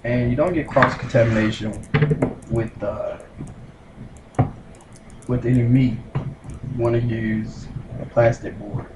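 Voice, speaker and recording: A young man casually demonstrating how to prepare food in a small home kitchen, explaining each step slowly with frequent pauses and fillers. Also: medium pitch. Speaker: home cook. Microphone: camera mic at a distance. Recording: roomy kitchen with loud background noise.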